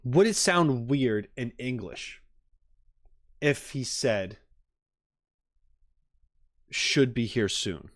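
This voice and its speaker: A man's voice: medium pitch, very animated, drawn-out words, pauses often, dry recording, studio quality. A man chatting casually as a streamer on a reaction stream, musing aloud as he goes.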